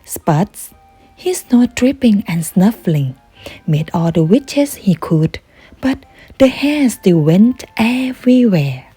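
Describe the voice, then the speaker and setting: Slow, animated woman storyteller over music. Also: medium pitch, breathy edge, dry recording. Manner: slow, animated read-aloud delivery. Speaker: storyteller reading a children's book. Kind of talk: expressive bedtime story narration.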